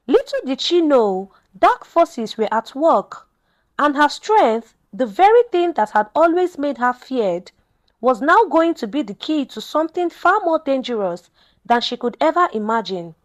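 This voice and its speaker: A woman narrating a scripted folktale in a dramatic, measured storytelling manner. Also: high voice.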